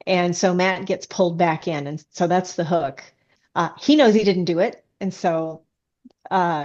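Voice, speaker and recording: A woman author being interviewed, chatting casually and explaining things in a halting, hesitant way. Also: on the low side, a little mellow. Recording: dull, band-limited audio.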